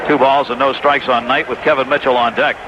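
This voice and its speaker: A man's voice: medium pitch, steady tone, brisk. An American man calling baseball play-by-play live on 1980s radio. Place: stadium broadcast booth. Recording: noisy, dull vintage AM radio broadcast.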